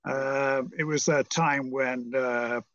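A man's voice: medium pitch, steady tone, slow pace, fairly bright tone, no room sound, muffled recording. A British man in his seventies from Merseyside, talking reflectively as an interview guest in a hesitant, rambling, understated way. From Merseyside, England. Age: 70s.

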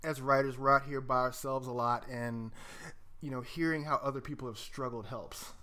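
A man's voice: mid-range voice, steady tone, normal speed, sharp tone. A man co-hosting a podcast in casual conversation, explaining things enthusiastically and addressing listeners directly. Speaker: podcast host.

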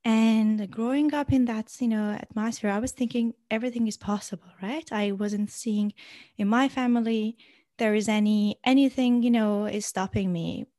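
A young woman from Iran, a guest in an interview, speaking natively and answering in a halting way with many fillers and restarts. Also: on the high side, fairly even intonation, warm tone, no room sound, studio quality. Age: young adult.

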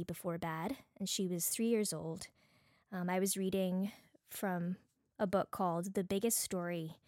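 A woman with a Bajan accent giving an earnest, deliberate devotional teaching talk as a host, mixing prepared points with personal reflection. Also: lowish voice, dry recording, studio quality. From Barbados.

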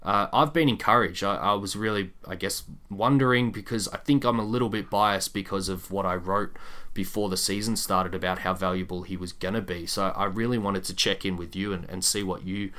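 A man's voice: deep voice, fairly even intonation, fairly quick, sharp tone. A man hosting a sports podcast, discussing basketball in a casual, conversational way.

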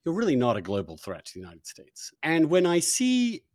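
A man's voice: a little high, wildly swooping pitch, normal speed, darkish tone, no echo, studio quality. A man interviewing a guest, posing long conversational questions mixed with opinionated, emphatic commentary.

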